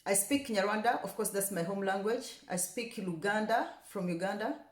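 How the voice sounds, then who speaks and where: A woman's voice: mid-range voice, normal speed. Chatty Rwandan-born woman, echoey room. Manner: chatty, repeats words for emphasis, grows passionate. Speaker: vlogger. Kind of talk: casual talk to camera.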